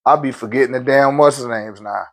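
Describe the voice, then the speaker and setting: A man hosting a spiritual live stream, talking loosely in slang and answering viewer questions in a relaxed, conversational way. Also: medium pitch, fairly quick.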